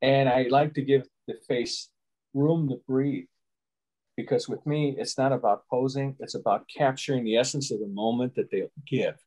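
Older man explaining calmly on a video call. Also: medium pitch, poor audio. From Chicago. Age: 60s. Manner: measured, explanatory, rambling asides. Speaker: guest photographer. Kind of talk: casual interview answers. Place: small room at home with window blinds. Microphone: webcam on a video call.

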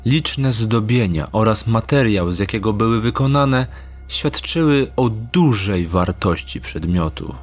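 A man narrating a scripted dark story as an audio drama narrator, in measured, literary style. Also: lowish voice, expressive. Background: music.

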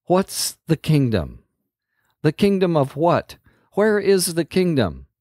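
A man's voice: on the high side, wildly swooping pitch, takes it slowly, darkish tone, no room sound, studio quality, pitched above the performer's own voice, full character voice. A man voicing a puzzled, timid young boy in a storybook reading, asking questions hesitantly. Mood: bewildered. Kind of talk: storybook character voice.

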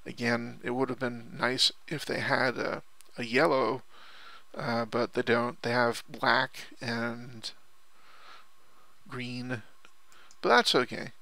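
Gravelly, bright-voiced man rambling casually. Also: medium pitch, dry recording. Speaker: online product reviewer. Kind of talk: casual unscripted product commentary.

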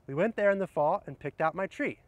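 A middle-aged man speaking outdoors, sharing picture books in a gentle, explanatory way and addressing viewers directly. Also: high voice, lively intonation, brisk, no room sound. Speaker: horticulturist presenting children's books. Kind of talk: picture-book read-aloud and casual book recommendations. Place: outdoors under trees in an arboretum garden.

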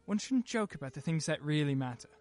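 An actor playing an evasive husband in a radio play, theatrical and patronizing, smooth but quick to get irritable. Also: male voice, a little high, lively intonation, a little mellow, faint music behind, close to an everyday voice. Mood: coaxing.

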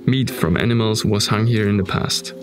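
A man with a German accent narrating a documentary about an abandoned manor in a calm, measured tone. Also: lowish voice, music in the background. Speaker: narrator of urban exploration videos.

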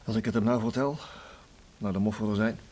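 A man's voice: fairly low pitch, steady tone, fairly quick, darkish tone, close to an everyday voice. An actor playing an evasive middle-aged father who coughs and hesitates in a radio drama. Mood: brooding. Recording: noisy old radio play recording.

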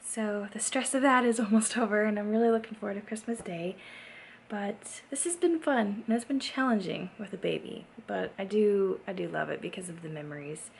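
A woman's voice: mid-range voice, fairly quick. A woman in her twenties chatting casually to camera at home, in a rambling, hesitant way. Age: 20s. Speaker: vlogger. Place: indoors at home. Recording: clear background noise, home room.

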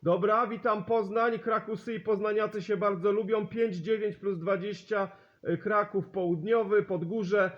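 A man's voice: sits high, fairly even intonation, moderate pace, dull audio. A sociable man hosting amateur radio contacts, chatting and repeating call signs and sign-offs, on a radio recording. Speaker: CB radio operator and channel host. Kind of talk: amateur radio contact chat, exchanging signal reports.

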